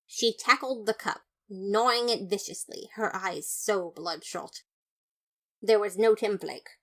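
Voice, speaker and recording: A woman reading first-person fan fiction as a dramatized, emphatic narration. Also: mid-range voice. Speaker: podfic reader.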